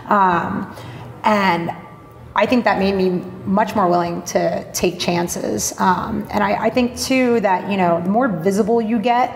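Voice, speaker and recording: A woman's voice: medium pitch, fairly even intonation, unhurried, creaky. A woman guest in an interview, talking bluntly and openly with lots of fillers and some swearing. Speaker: podcast guest, marketing blogger. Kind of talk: candid interview talk. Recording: roomy echo with faint noise.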